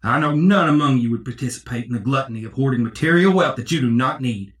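An actor playing a fiery, money-hungry preacher, delivering a pompous, folksy, theatrical sermon. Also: male voice, medium pitch, fast, close to an everyday voice. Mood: greedy, admonishing. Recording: roomy, distant, music behind.

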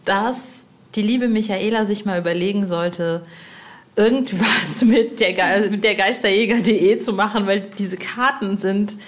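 Female podcast host, medium pitch, casual interview chat, playful teasing, effusive colloquial phrasing. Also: measured pace.

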